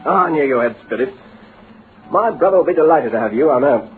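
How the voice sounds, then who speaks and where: An actor playing a brisk, flattering British businessman who recruits with avuncular persuasion, in old-time radio drama style. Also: medium pitch, lightly acted. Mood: indignant, goading. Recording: tinny band-limited old radio recording, hissy.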